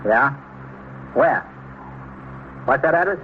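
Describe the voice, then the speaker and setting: An American man playing a brisk, insistent police switchboard sergeant in a 1950s radio drama. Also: medium pitch, expressive. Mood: questioning. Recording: thin, phone-like hissy old radio recording.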